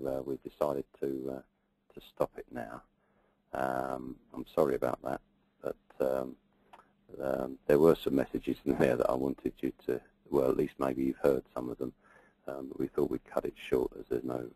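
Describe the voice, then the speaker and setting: A man's voice: very deep voice, creaky, warm tone, no room sound, poor audio. A man moderating an online session, introducing the next speaker with many hesitations. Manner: hesitant, apologetic. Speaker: event moderator.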